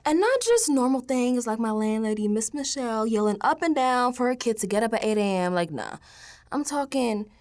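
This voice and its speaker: A woman's voice: a little high, lively intonation, normal speed, lightly acted. A woman playing a streetwise young New Yorker, telling her spooked audio diary in a slangy, conversational radio drama monologue. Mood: uneasy. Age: young adult. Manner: slangy, conversational, dropping into hushed asides.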